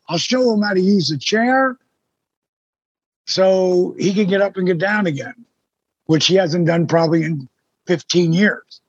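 A man in his sixties with a husky voice, a guest telling his story in a blunt, emphatic way with some swearing. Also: high-pitched, dry recording, highs cut off. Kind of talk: casual storytelling in an interview.

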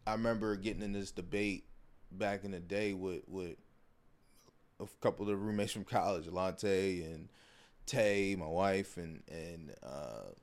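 A man with a fairly low voice talks casually as a podcast host. He is long-winded, often repeating a word or phrase as he works his way toward a point. The delivery is conversational and unscripted. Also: unhurried. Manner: long-winded, repeats and circles back on words.

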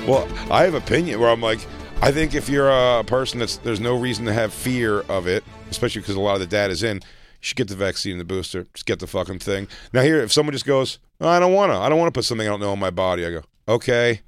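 Fast-rambling podcast man. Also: lowish voice, expressive, soft background music. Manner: rambling, quick, talks over others. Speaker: podcast co-host. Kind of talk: casual comedy podcast banter.

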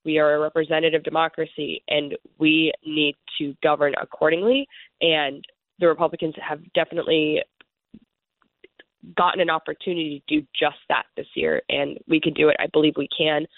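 Woman from somalia on a phone line, earnest and measured, gravelly voice, lots of fry. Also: deep voice, steady tone, no room sound. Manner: earnest, measured, political talking points. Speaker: congressional candidate. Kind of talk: guest answering interview questions. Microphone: phone call. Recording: tinny phone line, dry.

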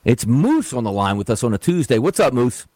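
Animated man in his forties on sports radio. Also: mid-range voice, fairly quick, dry recording. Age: 40s. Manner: animated, jokey, self-mocking. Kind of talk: casual radio banter.

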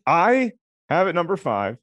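A man with a deep voice, a film critic chatting as a podcast guest and telling relaxed stories. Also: a little slow, no echo. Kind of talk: podcast conversation.

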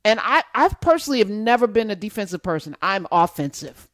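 A woman hosting a casual political chat, talking in an animated, emphatic and teasing way. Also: medium pitch, brisk, no echo, studio quality. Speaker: host.

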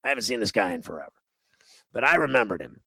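A man's voice: lowish voice, big pitch swings, quick pace, bright, forceful tone, no room sound, low-quality recording. A man, a radio host telling a long rambling, self-mocking story in a grating voice. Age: 50s. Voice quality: gravelly, grating voice. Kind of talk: storytelling monologue on a radio show.

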